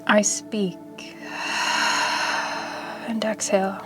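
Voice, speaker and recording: A woman with a mid-range voice leads a guided meditation. Her delivery is soothing and measured, staying very level in pitch with generous pauses between phrases. Music plays clearly behind her. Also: level tone, rough recording. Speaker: yoga nidra meditation guide.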